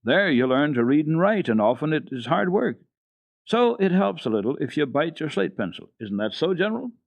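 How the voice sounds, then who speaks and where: A man's voice: fairly high pitch, sing-song, moderate pace, vocal fry, no room sound, pitched above the performer's own voice, full character voice. A man reading the part of a jovial, teasing old grandfather in a radio storybook. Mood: explaining.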